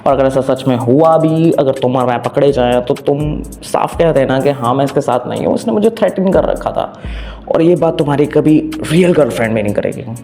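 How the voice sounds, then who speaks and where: A man's voice: medium pitch, lively intonation, moderate pace, warm tone. Indian man casually recapping, music underneath. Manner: informal, colloquial, mixing Hindi with English phrases. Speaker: manga recap narrator. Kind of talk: casual first-person story recap with jokes.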